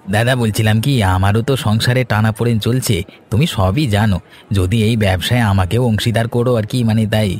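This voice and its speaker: A man's voice: lowish voice, fairly even intonation, brisk, darkish tone, a faint crowd, close to an everyday voice. A man voicing a scheming, envious younger brother in a fairy-tale cartoon, with a sly, ingratiating manner.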